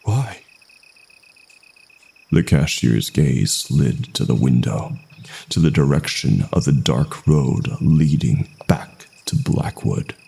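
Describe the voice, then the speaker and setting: A man narrating a scripted first-person horror story in a slow, dramatic way with deliberate pauses. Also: a bass voice, animated, creaky, warm tone, breathy edge.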